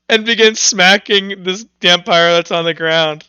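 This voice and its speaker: A man acting as game master, casually narrating a tabletop roleplay in a halting, informal way to his players. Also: high-pitched, fairly even intonation, pushed and bright, clean tone, no echo, low-quality recording. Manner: halting, informal delivery with many "like"s.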